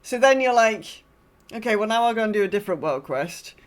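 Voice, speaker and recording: A woman's voice: medium pitch, lively intonation, fairly quick. A woman co-hosting a podcast, casually recapping her gaming in an understated, conversational way. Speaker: podcast co-host. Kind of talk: casual chat about an online game.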